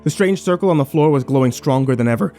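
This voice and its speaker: Man playing bitter male survivor, first-person horror narration, dark mellow tone, brooding, faint music behind. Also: medium pitch, expressive, fast talker, close to an everyday voice. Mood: grim, bitter. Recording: music bed under the voice.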